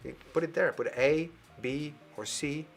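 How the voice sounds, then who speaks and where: A man hosts a live show over a video call, his slightly high voice bouncing with play and energy. He laughs through his lines and eggs the audience on. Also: a little slow, vocal fry, soft background music. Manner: animated, playful, laughs while talking. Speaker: host. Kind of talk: lively game-show hosting on a video call.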